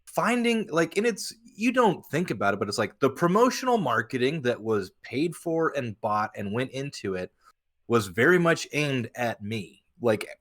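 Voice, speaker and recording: A man's voice: mid-range voice, big pitch swings, moderate pace, fairly bright tone. A man co-hosting a podcast in casual chat, emphatic and sweary, often acting out imagined quotes. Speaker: podcast host.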